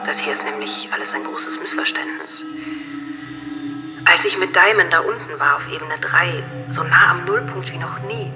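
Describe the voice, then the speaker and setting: Woman playing a female AI, soft and breathy, coaxing, regretful, eerily polite, radio drama, long reverb, music behind. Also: fairly low pitch, natural voice. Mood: gently regretful. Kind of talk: German radio drama acting.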